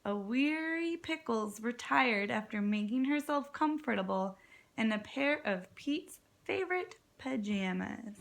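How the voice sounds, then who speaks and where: A woman with a fairly high, slightly creaky voice reads aloud to small children. She takes it very slowly, with expressive pauses, and speaks encouragingly like a kindergarten teacher. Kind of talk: children's read-aloud with prompting questions.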